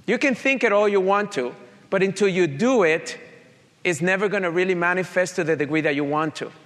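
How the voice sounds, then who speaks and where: A man with a Colombian accent and a slight Italian touch speaks earnestly to an audience. He tells a personal story in a fairly high voice. The sound carries a long hall reverb. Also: fairly quick, a bit bright. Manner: earnest, direct, addresses audience. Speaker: motivational speaker. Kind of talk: motivational talk with personal story.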